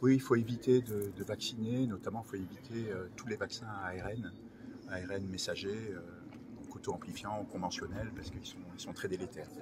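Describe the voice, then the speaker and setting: Low-voiced scientist amid loud noise. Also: male voice, fairly even intonation, brisk. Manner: hesitant, repetitive, technical. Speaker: research director in biology, interview guest. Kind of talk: explanatory interview answers. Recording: loud ambient background noise.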